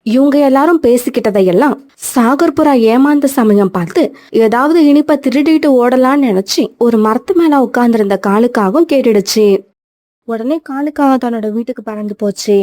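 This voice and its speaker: A woman narrating a children's cartoon story in a clear, even storytelling manner. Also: fairly high pitch, moderate pace, no echo, studio quality.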